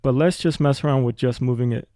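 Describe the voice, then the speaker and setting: A man with a mellow, relaxed voice walks through a production tutorial in casual language. His pitch is average, and the sound is close and dry, with no room on it. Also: fast, dry recording.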